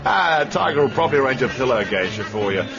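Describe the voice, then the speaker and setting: An actor playing a worldly, amused adviser in a radio drama, explaining things chattily with hesitations and dry humour. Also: male voice, medium pitch, sing-song, fast, vocal fry. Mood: wry, explanatory. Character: worldly, amused male adviser briefing a spy. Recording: muffled radio broadcast with music behind.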